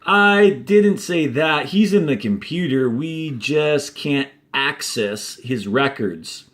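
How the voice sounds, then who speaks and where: A man's voice: fairly high pitch, animated, slow pace, fairly bright tone. Man acting an officious, indignant government official. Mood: defensive. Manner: curt, official. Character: indignant, officious Attorney General, stern authority.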